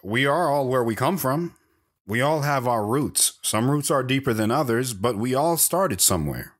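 A weary, cryptic wanderer in an audio drama, played by a man. He is polite and reserved, speaking reflectively at a middling pitch. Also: animated, normal speed, a bit bright. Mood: guarded, reflective.

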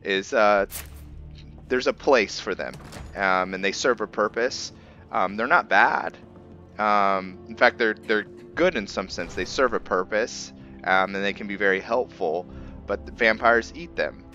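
A man giving casual commentary on his roleplay playthrough, haltingly thinking aloud as he goes. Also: on the low side, sharp tone, lo-fi sound, soft background music. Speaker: gamer narrating a roleplay playthrough.

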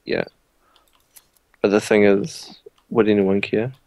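A laid-back young man with a New Zealand accent jokes his way through a game session. His voice is low and gravelly. It is recorded dry and close. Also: little pitch movement, slow pace, a little mellow, dry recording. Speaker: gamer, co-host. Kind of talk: casual let's play chat.

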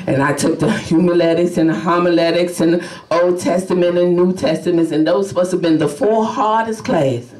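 A woman's voice: on the low side, moderate pace, hardly any pauses, a little mellow. A woman evangelist in her thirties preaching a sermon from a pulpit, fervent and full of hallelujahs. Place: small church sanctuary, at a pulpit. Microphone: podium mic, filmed by a far camera. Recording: roomy church sound from a distance.

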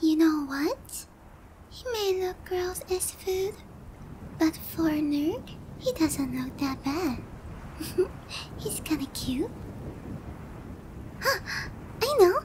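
Very high-pitched anime dragon girl. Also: animated, slow pace, pauses often, background noise, full character voice. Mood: smug, scheming. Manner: condescending, hesitant with giggles and murmured asides. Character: haughty, flustered, cutesy young anime dragon girl. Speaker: voice actor. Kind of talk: anime ASMR character roleplay.

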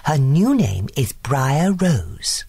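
A woman voicing gentle, protective good fairies from a storybook in a singsong, deliberate manner. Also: low voice, very animated, full character voice. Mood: secretive, firm. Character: gentle good fairy godmothers, bright and protective. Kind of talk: storybook character reading.

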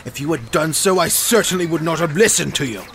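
A man's voice: on the high side, expressive, brisk, a bit bright, a little hiss or hum. A man playing a grumpy, self-important bachelor water-rat in an audio drama, pompous and indignant. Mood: huffy, indignant.

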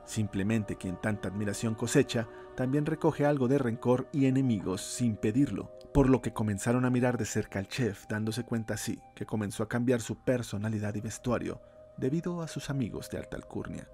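Male horror narrator, gravelly, mid-pitched, slow ominous read over faint music. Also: mellow.

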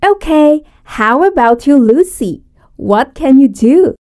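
A woman speaks as a classroom teacher in a high voice. She asks her questions slowly and clearly, in the manner of recorded course material. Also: lively intonation, a little mellow. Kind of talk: scripted textbook dialogue.

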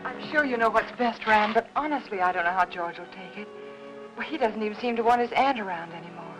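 An actress playing a gentle, anxious housewife in a 1950s Hollywood film, polite and hesitant, with an American accent. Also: female voice, medium pitch, expressive, fairly quick, lightly acted, rough recording. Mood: worried, hesitant. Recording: old film soundtrack with music.

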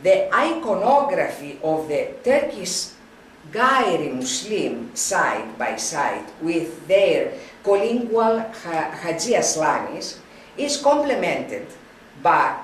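Older woman reading a lecture slowly, roomy. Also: fairly low pitch, very animated, slight background noise. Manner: slow, halting reading with frequent restarts. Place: wood-panelled room, indoors. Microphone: camera at a distance. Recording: roomy, distant camera sound.

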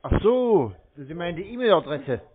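High-pitched deadpan man on a call. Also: moderate pace, narrow, tinny audio. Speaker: prank call recipient baiting phone scammers. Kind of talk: deadpan phone prank chat.

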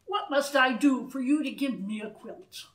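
A man's voice: extremely high pitch, normal speed, a bit bright, echoey room. A woman voicing a proud, possessive, frustrated king in a storybook, with a grudging, exclamatory manner. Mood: exasperated shouting.

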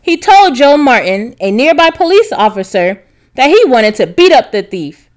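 A woman narrating a children's storybook, reading aloud expressively with clear enunciation. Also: high voice, sing-song, moderate pace. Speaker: narrator, read-aloud reader.

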